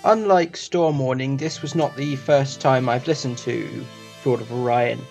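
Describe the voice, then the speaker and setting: A man's voice: medium pitch, steady tone, measured pace, gravelly, darkish tone, faint music behind. A man hosting a review channel, reading a scripted review.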